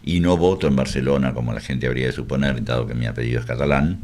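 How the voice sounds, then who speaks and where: A man's voice: extremely low pitch, fast talker, darkish tone. A man from Argentina with a Buenos Aires accent, a wry radio guest who hesitates with many fillers. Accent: Buenos Aires Spanish. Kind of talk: casual radio conversation.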